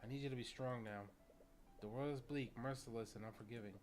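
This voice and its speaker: A man's voice: mid-range voice, fairly even intonation, a little fast, darkish tone, a little hiss or hum, close to an everyday voice. A man voicing a loving mother's solemn farewell letter in a story game. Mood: tender, solemn.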